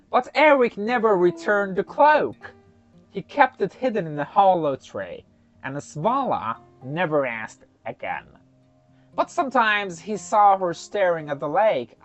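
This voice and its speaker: Storyteller doing a folktale, slow n animated, slightly dull audio, faint music. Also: mid-range voice. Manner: expressive, with pauses. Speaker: storyteller, narrator. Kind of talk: dramatic folktale narration. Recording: slightly muffled, soft music underneath.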